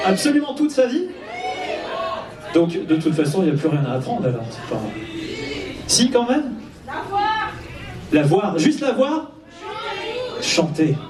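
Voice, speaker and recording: Lively, higher-voiced man hosting in echoey hall. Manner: playful, teasing, informal. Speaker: host of a live fan event. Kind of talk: casual live stage interview with audience banter. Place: cinema auditorium before an audience. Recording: echoey hall, distant mic, audience noise. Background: audience crowd.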